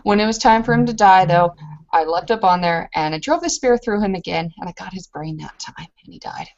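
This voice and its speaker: Woman playing a rambling, low-voiced warrior woman. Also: expressive, brisk, darkish tone, highs cut off. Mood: boastful storytelling. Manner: hesitant, rambling, frequent self-interruptions. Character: female werewolf warrior back from travels, self-deprecating, rambling, boastful. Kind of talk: in-character tabletop roleplay storytelling.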